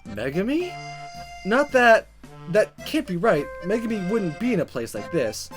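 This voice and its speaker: A gamer voicing a flustered, awkward high school boy from a visual novel, stammering and exasperated. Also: very animated, measured pace. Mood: disbelieving. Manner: stammering, exasperated inner monologue. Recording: music under the voice.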